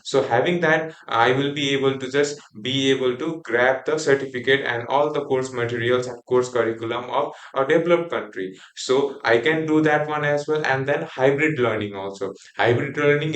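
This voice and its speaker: A man's voice: mid-range voice, a bit bright. A young man from Nepal, a native Nepali speaker with a Nepali accent, giving a class group presentation with many fillers and restarts. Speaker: student presenter. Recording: echoey room.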